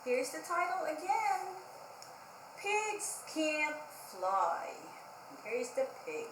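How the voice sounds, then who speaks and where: A woman hosting children's storytime, speaking in a sing-song, deliberate way with simple phrasing, as for reading a picture book aloud. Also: high-pitched, big pitch swings, background noise. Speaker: children's library storytime host. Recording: roomy echo.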